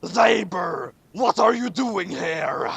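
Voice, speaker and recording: A man's voice: high voice, animated, slow pace, creaky, a bit bright, husky, no room sound, exaggerated voice. A man voicing a bad-tempered, bossy old emperor, scolding and barking orders in a comic fan fiction reading. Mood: indignant.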